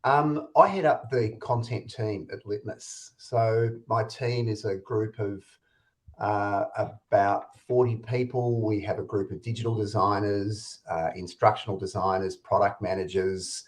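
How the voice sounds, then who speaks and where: A man with an Australian accent who heads a content team, giving a relaxed, informal webinar introduction over a video call. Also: fairly low pitch, unhurried. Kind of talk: webinar presentation.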